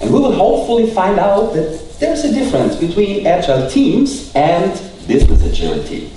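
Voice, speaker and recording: A man from Austria with an Austrian German accent, giving a relaxed keynote talk with some hesitant fillers. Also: sits high, normal speed, a little hiss or hum. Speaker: conference speaker. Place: large hall. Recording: echoey hall from far off.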